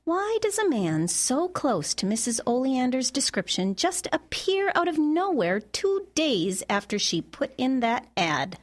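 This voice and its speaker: Lively, exasperated, suspicious mother. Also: high-pitched, unhurried, hardly any pauses, full character voice. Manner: earnest, indignant, skeptical. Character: suspicious, exasperated small-town mother running a newspaper. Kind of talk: radio comedy-mystery acting.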